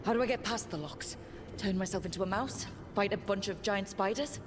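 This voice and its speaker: An actress playing a composed, decisive video game heroine with a dry, questioning manner. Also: female voice, mid-range voice, a little fast, background noise, natural voice. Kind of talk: video game character dialogue. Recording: music behind.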